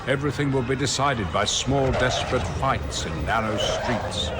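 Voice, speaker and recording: An actor playing a wise, grave old wizard in a fantasy game, speaking in a solemn, measured, portentous way. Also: male voice, mid-range voice, very noisy, lightly acted. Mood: grave foreboding. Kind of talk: dramatic scripted fantasy game narration.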